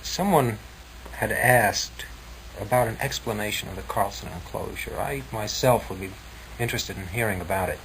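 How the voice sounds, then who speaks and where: A man's voice: fairly low pitch, normal speed, a bit bright. Polite male interviewer, noisy vintage radio. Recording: noisy old 1960s radio recording.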